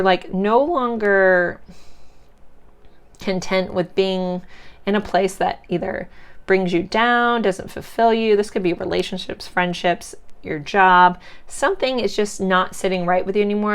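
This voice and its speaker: A woman's voice: medium pitch, clear, resonant tone. A woman giving a casual pick-a-card tarot reading, chatty and reassuring, her voice congested and cracking from sinus trouble. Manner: chatty and reassuring, loose with many fillers. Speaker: tarot reader.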